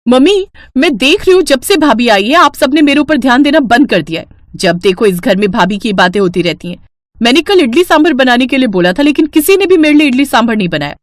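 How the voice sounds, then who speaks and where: A woman plays a sulky college-age sister-in-law in a cartoon tale. The voice is high, with big pitch swings. She whines defiantly, jealous of attention given to others. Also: lively intonation, a little fast, dry recording, studio quality. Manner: whiny, defiant complaining.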